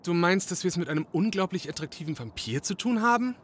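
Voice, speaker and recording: A man's voice: high voice, expressive, fast, a bit bright, a little hiss or hum. An actor playing a fussy, easily flustered male detective's assistant in a German comedy audio drama, nervous and indignant. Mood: awkward, miffed. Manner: nervous, stammering when rattled, indignant.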